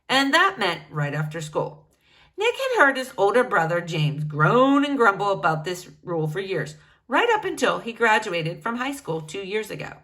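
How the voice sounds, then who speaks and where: A teacher reading a chapter book aloud to young children, with an animated, expressive storytelling manner. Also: female voice, mid-range voice. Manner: animated, expressive, singsong address to kids.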